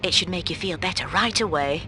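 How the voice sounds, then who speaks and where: An actress playing a cool, helpful secret agent in a video game, giving composed, scripted instructions to a partner. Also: female voice, on the low side, a little fast, natural voice. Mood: calm, instructive. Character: cool, helpful female spy agent briefing her partner.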